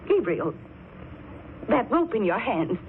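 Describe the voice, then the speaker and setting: An actress playing a nagging, sickly, desperate wife in melodramatic 1940s radio horror style. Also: female voice, on the high side, big pitch swings, takes it slowly. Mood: alarmed pleading. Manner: querulous, melodramatic. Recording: tinny, slightly noisy 1940s radio recording.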